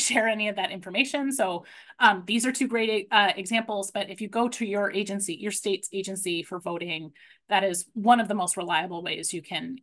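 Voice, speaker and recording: A middle-aged woman runs a webinar from her home office over a video call. She talks in a relaxed, chatty way, and her voice sits at an ordinary mid pitch. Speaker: webinar presenter. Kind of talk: casual presentation. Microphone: video call webcam.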